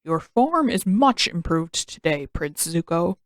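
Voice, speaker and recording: A woman acts as a genial old general from a fantasy audiobook. He takes his time over each phrase, relaxed and easygoing, in a dry recording. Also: lowish voice, dry recording. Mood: pleased. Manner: unhurried, genial delivery.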